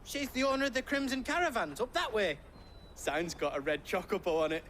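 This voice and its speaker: An actor playing an anxious, bumbling trader in a video game, with a Northern English accent and a flustered, stammering way of talking. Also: male voice, sits high, brisk, a bit bright, a little hiss or hum. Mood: earnest. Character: anxious, bumbling, soft-hearted young trader.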